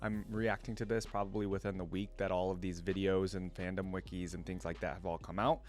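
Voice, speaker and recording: A man's voice: low voice, flat delivery, fairly quick. A man hosting a reaction video with casual, informal and enthusiastic commentary.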